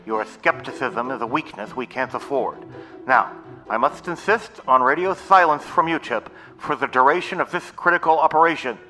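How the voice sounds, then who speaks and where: A man's voice: medium pitch, moderate pace, pushed and bright, faint music behind, close to an everyday voice, poor audio. An actor playing a pompous, bossy, nerdy boy mission commander in an audio drama, speaking in formal, wordy phrases. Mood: pompous, stern.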